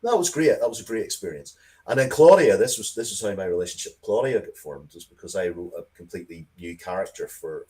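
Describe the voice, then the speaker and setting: A man chatting casually as an interview guest, telling rambling, excitable anecdotes with repeated phrases and the odd swear word. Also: a bass voice. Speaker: interview guest, writer of comics and audio drama.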